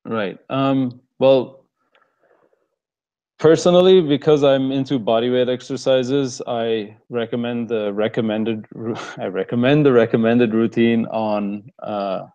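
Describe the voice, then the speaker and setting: Young armenian-american guy rambling on a live stream, mellow voice, kinda muffled audio. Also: medium pitch, measured pace. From Los Angeles, USA. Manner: relaxed, rambling, laughs often. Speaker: fitness coach answering questions. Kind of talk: casual live Q&A.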